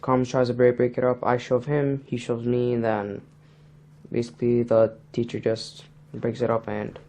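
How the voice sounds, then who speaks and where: A teenage male student acting a defensive high schooler, speaking casually with repetitive slang. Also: flat delivery, normal speed, mellow, natural voice. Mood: grudging. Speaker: student actor in a peer mediation dramatization. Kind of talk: acted school role-play dialogue. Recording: noisy room ambience.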